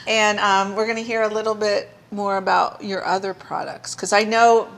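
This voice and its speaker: A woman's voice: medium pitch, fairly even intonation, moderate pace, vocal fry, a bit bright, low-quality recording. Enthusiastic woman talk-show host. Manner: enthusiastic, chatty. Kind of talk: casual interview chat.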